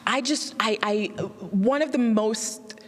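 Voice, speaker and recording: A woman's voice: on the high side, takes it slowly, husky, in a large hall. A woman in her thirties, a film director born in Nigeria and raised in Alaska, answering interview questions earnestly and reflectively. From born in Nigeria, raised in Alaska, USA. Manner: earnest, reflective, often laughing softly.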